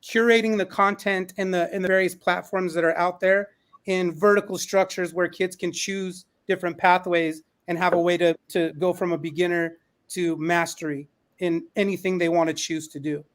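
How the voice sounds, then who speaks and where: A man with a notably high-pitched voice speaks as an educator on a panel. He is emphatic and opinionated, building his argument through lists and short repeated phrases. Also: flat delivery, normal speed, fairly bright tone. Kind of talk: panel discussion, opinion talk.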